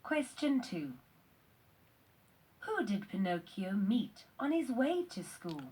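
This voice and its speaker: A woman reading scripted quiz questions and answers for children in a deliberate, instructional way. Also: medium pitch, big pitch swings, darkish tone, breathy edge. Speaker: narrator of a children's read-along quiz.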